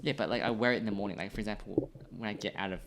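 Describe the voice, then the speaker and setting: A man's voice: on the low side, rapid-fire, noisy background. A young Australian man co-hosting a podcast, chatting casually and explaining himself at length. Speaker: podcast co-host. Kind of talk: casual chat.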